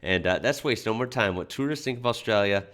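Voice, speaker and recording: A man's voice: fairly low pitch, brisk, fairly bright tone. An American man hosting a reaction video, talking in a chatty, loose way with frequent fillers and restarts. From United States. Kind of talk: casual reaction commentary.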